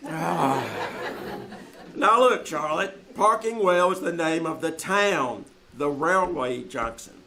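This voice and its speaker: A man playing an excitable, easily exasperated newspaper editor with a stammering, emphatic 1940s radio comedy delivery. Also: on the high side, slow pace, vocal fry, exaggerated voice. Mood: exasperated, flustered.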